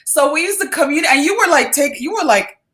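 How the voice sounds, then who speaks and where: A middle-aged woman hosting a casual interview, chatty and quick to laugh. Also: high voice, lively intonation, fairly bright tone. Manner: laughing, chatty, reminiscing with fillers. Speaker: host.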